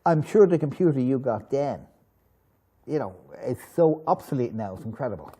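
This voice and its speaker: A middle-aged Irish man hosts a business chat show. His voice is mellow and mid-pitched. He talks in a chatty, rambling way, as in a relaxed studio interview. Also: moderate pace, husky. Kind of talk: conversational broadcast interviewing. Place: TV studio in a hotel.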